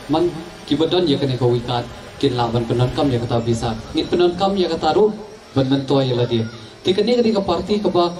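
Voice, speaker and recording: A man's voice: a little high, a little mellow. A man giving a political public address as a rally speaker. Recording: distant public-address microphone sound.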